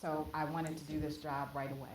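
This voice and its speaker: A woman's voice: deep voice, level tone, brisk. A woman panelist speaking earnestly and with passion about her calling. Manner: earnest, impassioned. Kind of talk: panel discussion. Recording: very echoey hall, clear noise.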